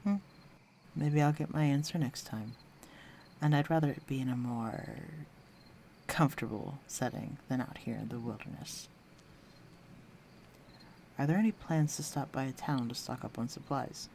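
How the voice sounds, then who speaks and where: A man playing a confident, flirtatious fantasy villain with a protective streak, speaking intimately to the listener in an unhurried, teasing way in an audio drama. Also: mid-range voice, gravelly, close to an everyday voice. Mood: coaxing, warm. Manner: teasing, self-assured, unhurried, dropping into hushed near-whispers. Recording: faint noise, night crickets and insects. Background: night ambience with crickets.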